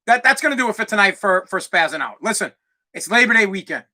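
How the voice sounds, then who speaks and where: Loud, brash Boston man ranting. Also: high voice, lively intonation, fast talker. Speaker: podcast host. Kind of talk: solo podcast rant.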